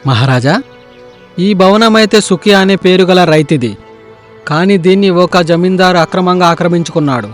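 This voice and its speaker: A man voicing a scheming, obsequious royal minister in an animated story, deferential and persuasive. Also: high-pitched, normal speed, soft background music, lightly acted.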